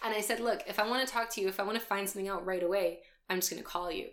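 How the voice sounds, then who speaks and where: A woman's voice: mid-range voice, steady tone, rapid-fire. A woman in her twenties co-hosting a podcast, telling stories in a chatty way with lots of fillers. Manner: chatty storyteller, many fillers and laughs. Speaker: podcast co-host. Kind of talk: casual chat.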